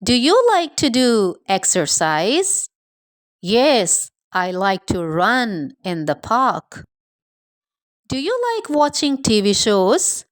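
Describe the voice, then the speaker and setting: Lady doing english practice lines, super slow n careful, lively up and down pitch, dry sound. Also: no echo. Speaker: English teacher. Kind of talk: scripted English practice dialogue.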